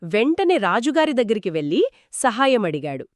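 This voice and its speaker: A woman's voice: a little high, sing-song, normal speed, dry recording, studio quality. A woman telling a moral story conversationally to a co-host, explaining each step expressively and affirming as she goes.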